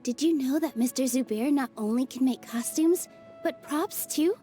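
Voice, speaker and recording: A woman voicing a sweet, gentle young dancer from a theater company, soft-spoken and admiring. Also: high voice, moderate pace, soft background music, close to an everyday voice. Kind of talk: game cutscene voice acting.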